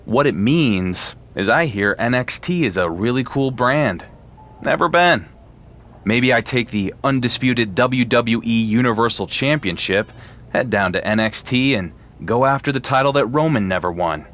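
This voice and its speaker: A man's voice: medium pitch, lively intonation, normal speed, fairly bright tone, lightly acted. A man playing a defiant, cocky male wrestling champion in a video game cutscene, with a dry delivery.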